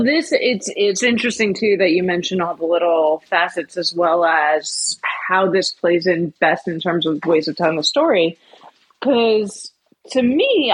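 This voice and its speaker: A woman's voice: on the low side, slightly rough, poor audio. A woman co-hosting a podcast, talking casually and thinking aloud, often qualifying her points. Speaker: podcast co-host.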